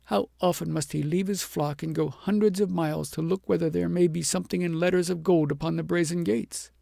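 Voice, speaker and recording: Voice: medium pitch, fairly even intonation, fairly quick, vocal fry, warm tone, dry recording, clean studio sound, voice pushed up. A storybook reader voicing a humble shepherd who answers earnestly. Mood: pleading. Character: humble defensive shepherd, plain countryman.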